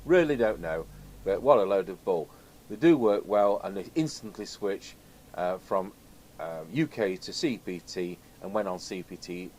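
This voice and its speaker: A man's voice: low-pitched, a little mellow, rough recording. A man chatting casually and ramblingly as he presents radio gear in an unscripted video. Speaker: video host and radio technician. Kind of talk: casual unscripted product chat. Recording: clear background noise.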